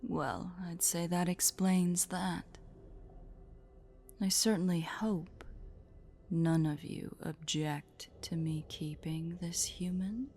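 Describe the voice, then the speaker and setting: Slow, low, menacing spider-woman, acted by a woman. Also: steady tone, warm tone, faint music behind. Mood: smugly polite. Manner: slow, teasing, condescending coos. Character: possessive, sadistic female spider-woman drider, smugly playful. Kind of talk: roleplay audio drama.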